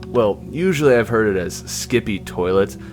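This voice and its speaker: A man's voice: lowish voice, expressive, moderate pace, natural voice. A man voicing a folksy, oddball patient in a visual novel game, talking in an informal, rambling, slangy way. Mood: upbeat, goofy. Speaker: video game character. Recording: loud music behind the voice. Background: loud game music.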